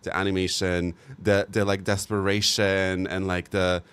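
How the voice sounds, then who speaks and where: A young man chats casually with his livestream viewers, rambling and gushing and swearing now and then. His voice sits a bit low for a man, and he piles up fillers and repeats as he talks. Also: unhurried, clear, resonant tone. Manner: gushing and expressive, with many fillers and repeated "so good"; chatty, frank and sometimes profane. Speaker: livestream host.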